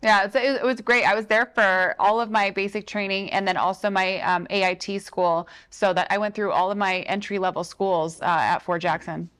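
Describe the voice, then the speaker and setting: A female interview guest, from England and the United States, with a medium-pitched voice. She tells personal stories casually. Her delivery is chatty and matter-of-fact. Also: fairly even intonation, normal speed, few pauses, fairly bright tone, clear, resonant tone. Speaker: interview guest, army veteran. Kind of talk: casual conversational storytelling.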